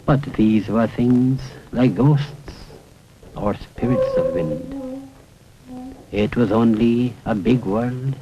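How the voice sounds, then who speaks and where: A man recites poetry in a solemn, dramatic style, measured and with deliberate pauses between short phrases, on a 1970s film soundtrack. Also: mid-range voice, highs cut off. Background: prominent music.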